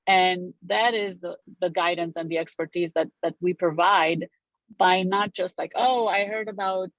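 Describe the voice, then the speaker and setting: Low-voiced Romanian-born woman realtor. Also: fairly even intonation, moderate pace, dry recording, highs cut off. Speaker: realtor guest. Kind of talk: casual interview conversation.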